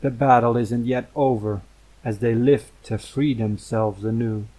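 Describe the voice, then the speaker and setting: A man's voice: mid-range voice, fairly even intonation, measured pace, dark tone, low-quality recording. A man reading a poem aloud as a recitation. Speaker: poem reader. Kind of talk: poetry recitation.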